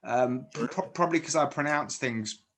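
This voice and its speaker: A man's voice: medium pitch, normal speed, a bit bright, a little hiss or hum, rough recording. A man from Guernsey with a mixed Channel Islands and South Yorkshire accent, chatting casually as an interviewer and often restarting his sentences. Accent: British English mixing Channel Islands and South Yorkshire. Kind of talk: casual video chat.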